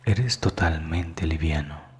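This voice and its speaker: A man narrating a scripted guided meditation in a calm, soothing manner that is hushed at times. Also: extremely low pitch, slow, a little hiss or hum.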